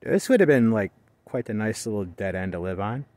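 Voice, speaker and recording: A man's voice: on the low side, animated, a little fast, darkish tone, no room sound. A man casually narrating as he hikes an abandoned rail line, rambling with lots of fillers, recorded outdoors on a handheld phone. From not local to the area. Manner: rambling, chatty, hesitant with fillers. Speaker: railfan vlogger. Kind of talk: casual walking commentary.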